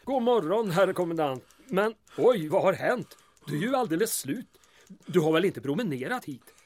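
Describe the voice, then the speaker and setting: Man playing a high-voiced, dryly sarcastic mayor. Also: big pitch swings, darkish tone. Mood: concerned, probing. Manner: dry, deferential wording laced with sarcasm. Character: small-town Swedish mayor, dry, teasing, politely mocking. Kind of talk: audio drama dialogue.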